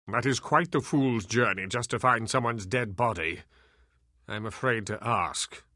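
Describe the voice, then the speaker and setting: An actor playing an ancient, reclusive elf sage in a video game, sardonic and weary, with theatrical, deliberate delivery. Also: male voice, lowish voice, pushed and bright, dry recording, studio quality, full character voice. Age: older.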